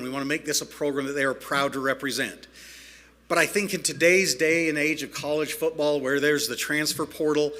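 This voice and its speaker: A head football coach, a man, earnestly laying out his recruiting plans at a signing-day press conference. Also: fairly high pitch. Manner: earnest, steady, lists goals.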